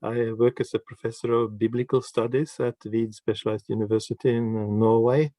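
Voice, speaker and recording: A man, a professor, introducing himself in a measured way with some fillers over a video call. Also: mid-range voice, level tone, mellow, no room sound. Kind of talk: self-introduction in an online seminar.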